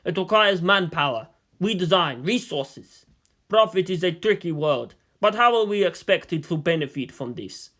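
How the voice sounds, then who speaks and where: A man's voice: high voice, animated, moderate pace, a bit bright. A man voicing an aged, shrewd premier in a story reading, formal, questioning and skeptical. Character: aged, experienced male statesman, suspicious and shrewd premier.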